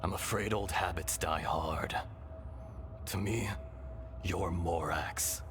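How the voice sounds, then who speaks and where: A man voicing an ancient, blind earth dragon in a game cutscene, slow, solemn and measured. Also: sits low. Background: game score music.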